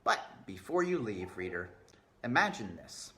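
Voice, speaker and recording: A man reading a children's novel aloud with deliberate, dramatic expression, addressing the listener directly. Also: fairly low pitch, very animated, pushed and bright. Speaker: storybook reader.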